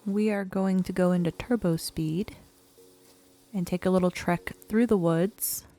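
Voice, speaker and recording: Croaky woman with a cold, chatting casually. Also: on the low side, a little slow, faint music behind. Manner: relaxed, chatty, self-deprecating. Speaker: gaming channel host. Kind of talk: casual gameplay commentary.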